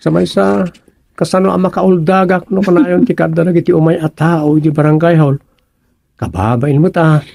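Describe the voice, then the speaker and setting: A good-humoured, drowsy village captain in a radio comedy. His voice is husky and a little high, with a gravelly creak, and he banters and muses with English words dropped in. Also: fairly even intonation, normal speed, a little mellow, dry recording. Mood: defensive banter. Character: sleepy, good-humoured village captain and husband who writes stories. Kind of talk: Ilocano radio comedy drama acting.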